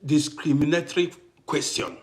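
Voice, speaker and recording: A man hosting a casual livestream who greets viewers by name, repeats himself, and swings between loud bursts and quiet asides. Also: a little high, fairly even intonation, normal speed. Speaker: livestream host.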